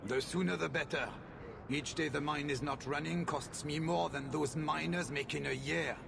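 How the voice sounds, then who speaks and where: Creaky-voiced, self-important mine owner. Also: male voice, on the high side, fairly even intonation, moderate pace, background noise. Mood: dismissive. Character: anxious, self-important middle-aged mine owner, dismissive and money-minded. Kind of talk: video game voice acting.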